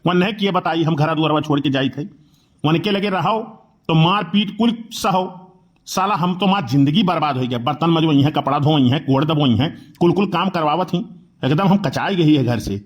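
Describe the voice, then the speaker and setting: A man's voice: on the high side, fairly quick, lightly acted. A man voicing a grumpy henpecked village uncle in a comedy cartoon, complaining and blustering with an Awadhi accent. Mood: aggrieved, defiant. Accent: Awadhi, eastern Uttar Pradesh.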